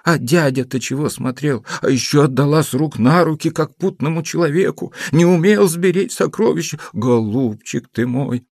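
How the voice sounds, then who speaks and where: A man voicing a doting, anxious elderly gentlewoman in an audiobook, exclaiming and lamenting in a fretful way. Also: on the high side, normal speed, hardly any pauses, no room sound, clean studio sound, pitched above the performer's own voice. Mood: tearful lamenting. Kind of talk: audiobook character voice.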